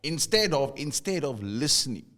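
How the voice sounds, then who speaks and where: A man's voice: on the high side, sing-song, moderate pace, fairly bright tone. A South African man co-hosting a casual conversation, telling a story and often repeating words as he starts a thought. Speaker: podcast co-host.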